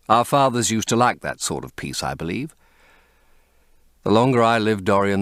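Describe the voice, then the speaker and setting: A man's voice: lowish voice, dry recording, studio quality, voice pushed down. A man voicing a cynical, urbane older English aristocrat with a drawling, epigrammatic way of talking, in an audiobook. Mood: wry, amused. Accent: upper-class English. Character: witty, cynical, languid older aristocratic gentleman. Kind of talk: audiobook character reading.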